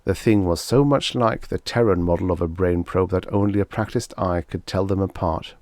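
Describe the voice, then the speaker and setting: A man's voice: low voice, normal speed, a little mellow, no room sound. A man reading a scripted story as an audiobook narrator.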